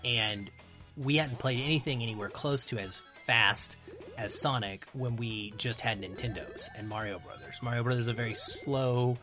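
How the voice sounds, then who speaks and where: A man's voice: mid-range voice, normal speed. Man in casual film chat, music behind. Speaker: podcast guest, film critic.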